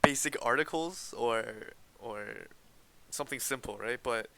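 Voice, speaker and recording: A man's voice: mid-range voice, measured pace, sharp tone, slight background noise, poor audio. A teenage boy from Canada co-hosting a podcast, chatting casually and hesitantly, often restarting and laughing as he explains. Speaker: podcast co-host. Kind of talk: casual chat.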